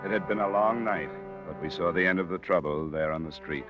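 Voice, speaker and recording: An American actor playing a hard-boiled insurance investigator, terse and dry, in 1950s radio noir style. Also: male voice, low-pitched, a little fast, natural voice. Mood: dry, matter-of-fact. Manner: terse, dry, matter-of-fact. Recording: muffled old radio recording, music behind.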